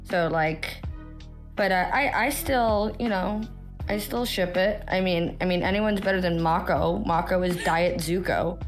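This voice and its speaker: An American woman in her thirties with a hoarse voice, rambling and swearing freely in casual chat as a podcast guest. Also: on the low side, measured pace, faint music behind. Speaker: actor.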